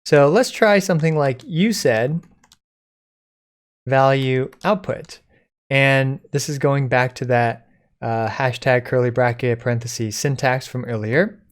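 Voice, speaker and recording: A man presenting a code-along software tutorial in an informal, step-by-step explaining way. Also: mid-range voice, measured pace. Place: indoors at a computer, screen recording.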